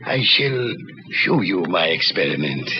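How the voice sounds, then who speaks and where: Obsessed mad scientist, intense and ranting, voice swinging widely, vintage radio drama with heavy noise. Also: male voice, low voice, big pitch swings, measured pace. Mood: fanatical, defiant. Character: obsessed old mad doctor craving eternal life. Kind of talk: old-time radio horror acting. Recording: muffled, very noisy old radio recording.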